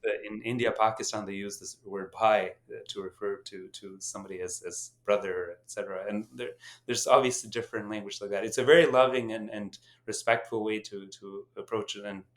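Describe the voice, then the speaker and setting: A man's voice: on the low side, steady tone, fairly quick. A middle-aged man whose first language is Albanian, animatedly telling stories in a casual podcast chat with restarts and chuckles. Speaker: podcast host and company founder.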